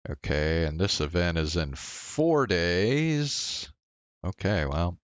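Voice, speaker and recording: A man's voice: deep voice, sing-song, slow pace, no echo. A man giving casual live gaming commentary in a laid-back way, trailing off in mumbled asides. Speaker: game livestreamer. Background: game music behind voice.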